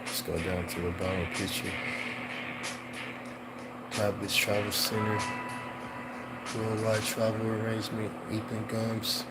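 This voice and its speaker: A man reading aloud in a casual, halting way, repeating phrases and dropping in informal off-the-cuff comments. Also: fairly low pitch, monotone, over loud music, rough recording. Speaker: host reading aloud from an old newspaper.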